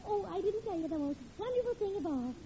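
High-pitched gushing young bride-to-be. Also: female voice, expressive, fast, mellow. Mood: excited. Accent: American. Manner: coy, cooing, insistent. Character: eager, gushing young bride-to-be, coy and doting. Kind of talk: 1940s radio comedy acting. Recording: muffled, noisy old radio recording.

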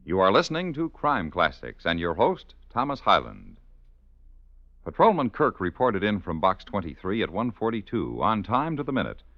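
A man's voice: fairly low pitch, huge pitch swings, normal speed. An American man announcing in a polished, dramatic 1950s radio style, reading scripted promotional copy. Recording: muffled, roomy old radio recording.

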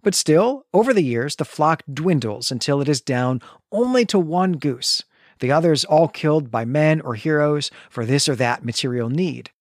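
A man co-hosting a book podcast, retelling a chapter in a lively storytelling way. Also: fairly high pitch, normal speed, no room sound, clean studio sound. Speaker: podcast co-host. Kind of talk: book-club podcast recap.

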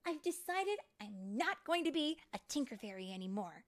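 A woman's voice: high-pitched, very animated, moderate pace, pitched above the performer's own voice. A woman voicing an eager, headstrong young female fairy from a storybook, emphatic and easily frustrated. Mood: eager, pleading. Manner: emphatic, impassioned.

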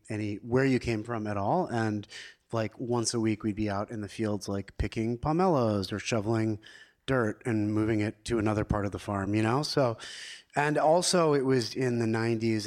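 A middle-aged man with an American accent telling his story casually and informally as an interview guest. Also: fairly low pitch. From Pittsburgh, United States, partly Israel.

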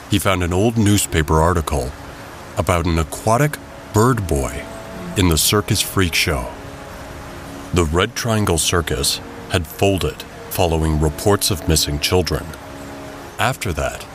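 Deep-voiced male dramatic narrator. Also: lively intonation, unhurried. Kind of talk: scripted dramatic story narration. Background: music.

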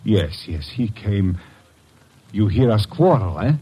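An anxious foreign-accented shopkeeper of classic radio drama. His husky, lowish voice swings up and down animatedly. He talks in halting broken English, hesitant and evasive. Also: sing-song, warm tone, full character voice. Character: nervous, evasive foreign male flower shopkeeper in debt. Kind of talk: old-time radio detective drama acting.

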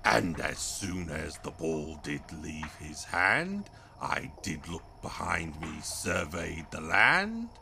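A man playing an excitable talking dog-boy minion who recites bad poetry pompously in a comic fantasy audio drama. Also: extremely low pitch, slow, gravelly, few pauses, background noise, full character voice. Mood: pompous reciting. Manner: pompous verse recital, indignant and eager.

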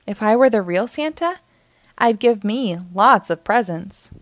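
A woman voicing an indignant, excitable young girl puppy from a cartoon, with an emphatic, huffy childlike delivery. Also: a little high, unhurried, no echo. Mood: self-satisfied.

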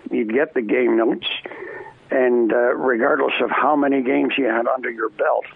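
A man's voice: mid-range voice, steady tone, moderate pace, gravelly, husky. An older man from Canada, a former arena announcer, chatting as an interview guest, rambling with many restarts and fillers. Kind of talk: casual interview chat. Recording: tinny phone line.

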